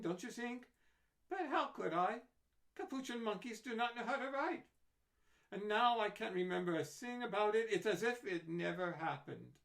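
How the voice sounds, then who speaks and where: A man voicing an eccentric little female scientist who speaks in a strange, soft accent with fussy exclamations. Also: sits high, pitched above the performer's own voice, exaggerated voice. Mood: cheerful.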